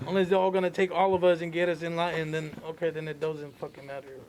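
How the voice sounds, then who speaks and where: A man's voice: fairly high pitch, level tone, quick pace, a little mellow, a little hiss or hum. A man bantering casually as a podcast co-host, dismissive and slangy, with plenty of swearing. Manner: slangy, profanity-laden, dismissive. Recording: busy chatter of people behind.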